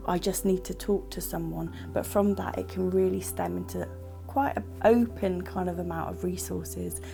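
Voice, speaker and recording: Female interviewee, reflective, vocal fry, slightly low register. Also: warm tone. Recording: music playing behind.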